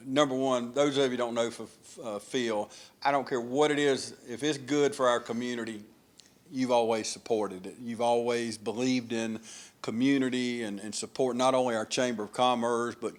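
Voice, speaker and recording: Male local TV host, folksy and chatty, easygoing questions. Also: mid-range voice. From Dublin, US. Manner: folksy, rambling, affirming. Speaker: TV interviewer. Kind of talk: local TV interview.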